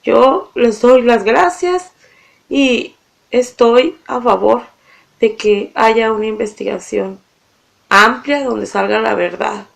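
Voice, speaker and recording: A woman giving halting spoken testimony, hesitant and plain-spoken as she appeals for justice. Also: fairly high pitch, vocal fry. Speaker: victim's relative appealing for justice.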